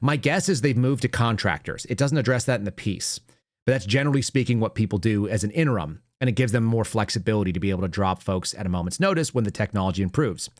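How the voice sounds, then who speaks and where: A man's voice: on the low side, lively intonation, fast, no room sound, clean studio sound. An American man giving opinionated, unscripted political commentary as a talk show host, blunt, conversational and emphatic.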